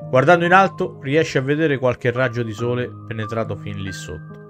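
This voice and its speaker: A man's voice: medium pitch, brisk, a bit bright. A man in his thirties reading a visual novel aloud on stream in a theatrical storyteller way. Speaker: game streamer. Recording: music clearly behind the voice. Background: game music.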